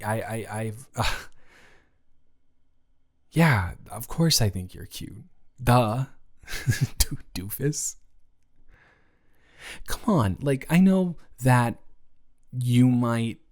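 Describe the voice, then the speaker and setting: A man's voice: mid-range voice, lively intonation, darkish tone. Stammering, smitten male roommate played by a man. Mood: smitten, flustered. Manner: stammering, rambling, giddy, halting. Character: nervous, smitten male roommate confessing love. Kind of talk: improvised romantic roleplay audio, intimate one-sided conversation.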